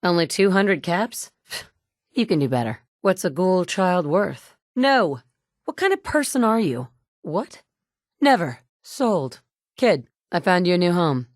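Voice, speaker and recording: A woman's voice: lowish voice, big pitch swings, measured pace, no room sound, studio quality. A woman voicing a determined young mother who searches a ruined world for her kidnapped son, blunt and dryly sarcastic, recorded as video game dialogue. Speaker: player character voice actress. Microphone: studio mic.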